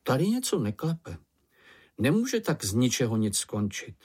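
A narrator voicing a good-natured young male troll child, curious and calm, in a matter-of-fact manner. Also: mid-range voice, breathy edge. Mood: puzzled.

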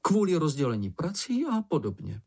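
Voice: medium pitch, wildly swooping pitch, a little mellow, slightly rough, pitched above the performer's own voice, exaggerated voice. A storybook reader voicing an earnest young male creature who is fussy, pedantic and easily exasperated. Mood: fussy, officious.

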